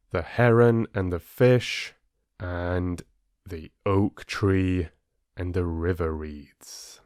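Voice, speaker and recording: Male storyteller, lowish voice, slow measured phrasing, audiobook narration, dry close recording. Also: a little mellow, clean tone, no echo. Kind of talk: expressive storybook reading and listener chat.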